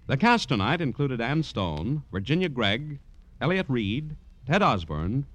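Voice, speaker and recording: A man with an American accent announcing formally for 1950s radio, polished, measured and declarative. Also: medium pitch, sing-song, a bit bright, no room sound. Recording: vintage radio recording, music behind.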